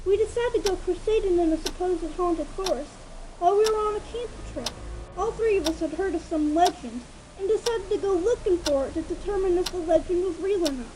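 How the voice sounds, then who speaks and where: A woman's voice: very high voice, a little fast. A woman voicing a frightened young filly, hesitant and childlike, in a dramatic fan fiction reading. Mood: confessing. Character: frightened young filly confessing dark secrets. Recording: noisy home recording.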